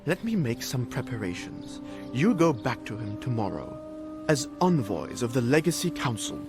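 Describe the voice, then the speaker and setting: A canny, pragmatic sergeant in a fantasy video game. He talks conversationally with wry, very lively swings of pitch, over background music. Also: moderate pace. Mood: scheming, helpful. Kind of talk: video game cutscene acting.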